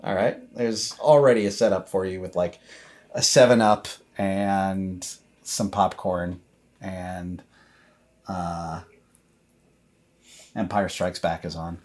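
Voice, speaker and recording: A man narrating a tabletop game as storyteller, improvising in a halting, conversational way. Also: low voice, steady tone, a little mellow.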